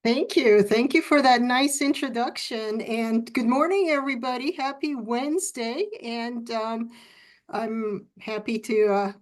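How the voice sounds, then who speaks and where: A woman's voice: a little high, unhurried. A middle-aged woman from Turkey who grew up in Italy, a food science professor giving an unscripted lecture over a video call, with frequent ums and uhs. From Turkey, raised in Italy.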